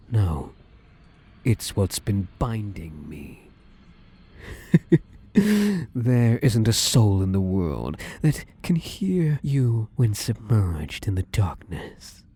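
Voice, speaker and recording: A man voicing a possessive, domineering male shadow spirit in an ASMR roleplay, speaking slowly and menacingly and often slipping into whispers. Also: fairly low pitch, dark tone, husky, heavily acted. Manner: slow, menacing, drifts into whispers.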